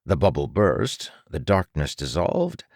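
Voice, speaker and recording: A low-voiced man reads dramatic fiction as an audiobook narrator. His delivery is slow and deliberate, with an expressive storytelling rise and fall and a very dry, close sound. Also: dry recording.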